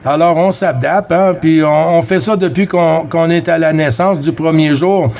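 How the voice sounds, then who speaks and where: A man with a Quebec French accent chatting casually as a CB radio operator, friendly, with halting phrasing and repeated words. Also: fairly high pitch, gravelly, darkish tone. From Quebec, Canada. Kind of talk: casual radio chat with callsigns.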